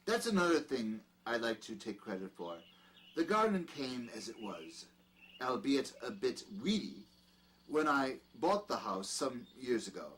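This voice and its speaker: A man's voice: mid-range voice, very animated, measured pace, fairly bright tone, poor audio. A man playing a genteel, peculiar doctor and mansion host in an audio drama, with polite, formal phrasing. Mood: genial. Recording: clear background noise.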